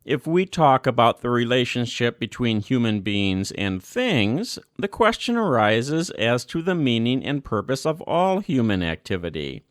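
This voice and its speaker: A man giving a scripted reading of a religious document, phrase by measured phrase, with deliberate emphasis. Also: mid-range voice, no echo, clean studio sound. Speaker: reader.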